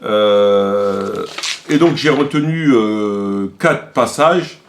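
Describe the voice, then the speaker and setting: A man giving an informal spoken critique to viewers, halting and repetitive as he searches for words, with an indignant, emphatic edge. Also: lowish voice, a little hiss or hum. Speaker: religious commentator and video presenter.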